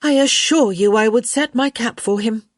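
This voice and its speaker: A woman's voice: a little high, expressive, moderate pace, no room sound, clean studio sound, pitched above the performer's own voice. A woman voicing a witty young unmarried lady, arch, teasing and sarcastic, in a story reading.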